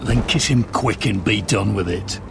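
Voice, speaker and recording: An actor playing a stern, accusing, scornful officer of a sworn brotherhood in a game drama, with a gravelly voice. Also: male voice, on the low side, a little slow, music in the background. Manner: menacing, scornful.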